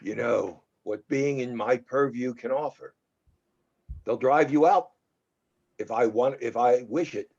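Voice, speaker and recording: A man's voice: medium pitch, unhurried, pauses often, a little mellow. A man playing an entitled, menacing Hollywood producer in a drama table read. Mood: threatening. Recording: dull lo-fi microphone sound.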